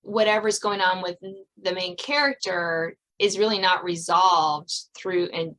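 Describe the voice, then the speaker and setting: Bright, chatty woman podcast host. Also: lowish voice, a little slow, low-quality recording. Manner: chatty, laughing, many fillers. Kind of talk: casual interview chat.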